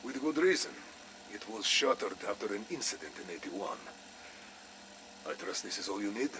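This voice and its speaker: A man playing a shrewd, wary Russian spy in a video game, with a Russian accent and measured, sly phrasing. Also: fairly low pitch, lively intonation, noisy background, close to an everyday voice. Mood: guarded, firm. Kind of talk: cinematic video game voice acting.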